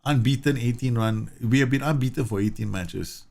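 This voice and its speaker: A man's voice: medium pitch, moderate pace, gravelly, darkish tone. A man from Singapore live-streaming a football management game, chatting casually, reading game news aloud and laughing often. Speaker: gaming streamer.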